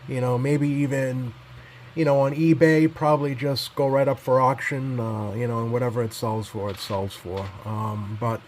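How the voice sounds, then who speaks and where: A man giving casual, unscripted commentary as a repair technician, pausing often with many ums as he goes. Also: mid-range voice, steady tone, darkish tone, a little hiss or hum. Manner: halting, hesitant delivery heavy with ums and pauses. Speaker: repair technician presenting his bench work.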